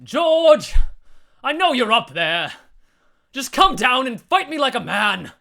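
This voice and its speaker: Blustering heroic knight, loud and high, gravelly edge, voiced by a man. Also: very animated, takes it slowly, exaggerated voice. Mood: defiant. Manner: shouting, blustering. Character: brash armored male knight, heroic and blustering.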